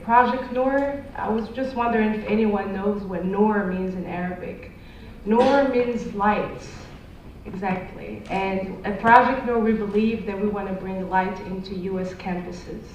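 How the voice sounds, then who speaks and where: A woman's voice: mid-range voice, slight background noise. A woman introducing herself earnestly as a panelist, her English phrasing slightly nonstandard. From Morocco. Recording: echoey hall, distant muffled mic.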